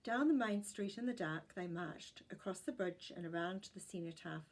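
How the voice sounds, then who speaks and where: Husky New Zealand woman reading a story. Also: fairly low pitch, normal speed. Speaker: storyteller reading a children's book. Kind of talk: expressive storybook narration.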